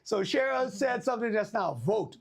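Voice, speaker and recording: A man with a Bahamian accent hosting a casual talk show, lively and quick to repeat himself and laugh. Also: sits high, creaky, crowd noise. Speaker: host.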